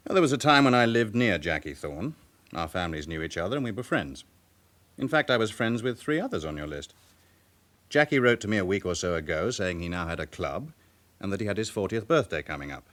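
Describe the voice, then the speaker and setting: A proud, guarded businessman in a radio drama. He speaks low, with wide swings of pitch, in a formal and controlled way that turns faintly haughty. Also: very animated, fairly quick, fairly bright tone. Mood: guarded, courteous. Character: smooth respectable businessman with a past, guardedly proud. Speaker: actor in a police drama. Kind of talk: naturalistic radio drama acting.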